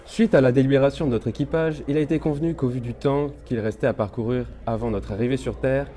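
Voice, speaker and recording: A man's voice: medium pitch, steady tone, fast talker, mellow, a little hiss or hum, natural voice. A man playing an anxious ship's engineer recording logs in an amateur science fiction audio drama. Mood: formal, grave. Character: male ship engineer, anxious officer under mounting strain.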